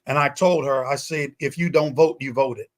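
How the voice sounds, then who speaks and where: A man's voice: mid-range voice, fairly even intonation, moderate pace. A man speaking as a guest pastor in a talk-show discussion, emphatic and repetitive with a preaching cadence.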